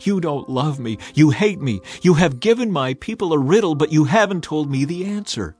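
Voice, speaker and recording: A man's voice: a little high, lively intonation, moderate pace, a little mellow, breathy edge, soft background music, voice pushed up. A man voicing a tearful, accusing young bride with a reproachful, pleading manner in a scripture drama.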